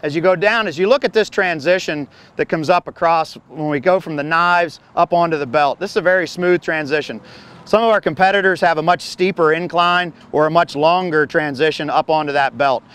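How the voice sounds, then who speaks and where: Clear-voiced man demoing farm machinery outdoors. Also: a little high, brisk, a bit bright. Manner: plain explanatory, frequent uh fillers and restarts. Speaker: farm equipment company representative. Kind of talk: product walkaround demo. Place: outdoors on a grassy field beside a combine. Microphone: lapel mic.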